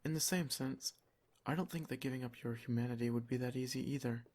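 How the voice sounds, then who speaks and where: Male vampire lord for ASMR roleplay, acted by a man: soft-spoken, possessive and protective, medium pitch, measured and gently commanding. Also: close to an everyday voice. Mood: teasing, reassuring. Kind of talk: ASMR roleplay, scripted intimate monologue.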